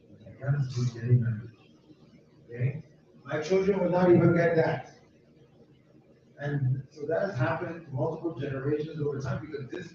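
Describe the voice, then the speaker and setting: Guy leading a neighborhood walk, kinda rambly and emphatic, sounds far off, echoey and muffled with loud ambience. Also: a little high, background noise. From United States. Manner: emphatic, rambling, repeats himself. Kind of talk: informal talk to a walking group.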